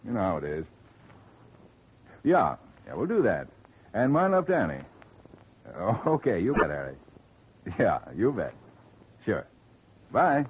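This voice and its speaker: An actor playing a busy, glib middle-aged lawyer, brisk and folksy, in 1950s radio style. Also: male voice, lowish voice, wildly swooping pitch. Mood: chatty, distracted. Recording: muffled, noisy old radio recording.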